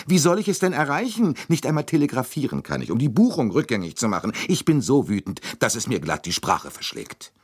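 A man voicing a loud, complaining older American woman traveler in an audiobook reading. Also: no echo, clean studio sound. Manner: indignant, shrill, complaining.

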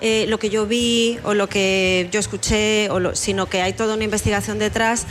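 A woman's voice: mid-range voice, fairly even intonation, normal speed, soft background music. A woman speaking as a guest novelist in an interview, answering conversationally and repeating words for emphasis. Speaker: guest novelist discussing their book.

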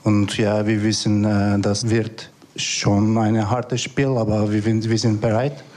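A male footballer from Turkey, speaking in simple phrases with small slips. His voice is lowish and fairly flat. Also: slow. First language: Turkish. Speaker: football player. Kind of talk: interview soundbite.

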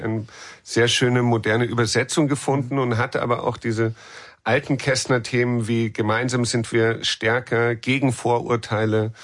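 A man from Munich answers interview questions conversationally. His pitch is middling and stays in a narrow range. He often repeats words while looking for the right phrasing. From Munich, Germany. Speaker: film director interviewed about his film.

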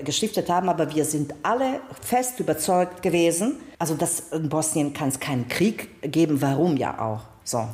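A woman's voice: low-pitched, darkish tone. A middle-aged woman from Serbia, a native Serbo-Croatian speaker with a Balkan accent in German, telling personal stories expressively as an interview guest. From Serbia, former Yugoslavia. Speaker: guest, singer, actress and author. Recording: roomy, echoing space.

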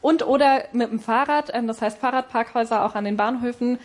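Woman politician with a higher voice. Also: a little fast. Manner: frequent ums. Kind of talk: debate statement.

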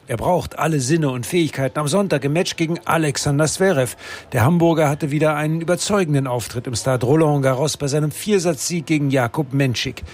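A man giving a scripted sports report as a correspondent, in a steady, matter-of-fact way. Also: on the high side.

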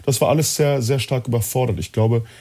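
A man from East Germany telling personal stories as an interview guest, reflective and halting, often repeating words. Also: medium pitch, fairly even intonation, a little mellow. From born in East Germany, partly raised in Chile. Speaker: interview guest, actor and coach. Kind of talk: personal storytelling in conversation.

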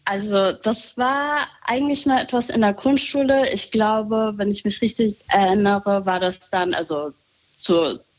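A woman talking casually on a call, reflective and soft-spoken, often laughing lightly. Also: medium pitch, measured pace, dull audio. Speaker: guest.

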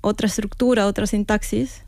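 A woman's voice: medium pitch, steady tone, brisk, darkish tone, no room sound, studio quality. A woman, an author talking reflectively in an interview, often tagging phrases with a questioning "no". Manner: reflective, many "¿no?" tags and restarts. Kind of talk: interview conversation.

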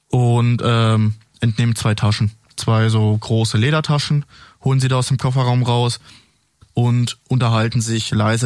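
A man who is a native speaker of both French and German, a police officer recounting events in a measured, explanatory way with pauses, as an interview guest. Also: mid-range voice, a little mellow, dry recording, clean studio sound. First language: French and German, bilingual. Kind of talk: recounting a case in a studio interview. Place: studio.

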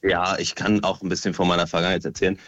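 Young man from Syria calling in, low voice, dull phone sound. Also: very fast, no echo. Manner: relaxed, with hesitant fillers. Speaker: caller. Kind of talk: casual phone call to a radio show.

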